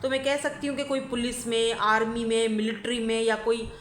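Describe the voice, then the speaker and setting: A woman giving a casual tarot reading, hoarse from a sore throat and flu, chatty and often checking in with her listener while mixing in English words. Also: a little high, little pitch movement, normal speed, a little hiss or hum. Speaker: tarot reader. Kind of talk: casual pick-a-card reading to camera. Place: indoors at home by a window. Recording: echoey room.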